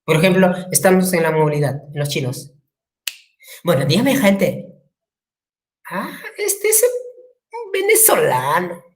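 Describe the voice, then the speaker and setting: A woman's voice: fairly low pitch, unhurried, pauses often. A woman with a Peruvian Spanish accent giving a classroom lecture, acting out quotes and asking the class questions. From Peru. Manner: lively, acts out quotes, asks the class questions. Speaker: teacher.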